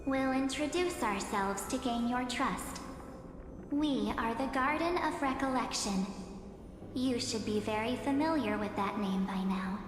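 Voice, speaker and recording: A woman's voice: a little high, a little slow, close to an everyday voice. An actress voicing a formal, declarative female envoy of a secretive order in a video game. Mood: self-assured. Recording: echoey hall, clear noisy ambience.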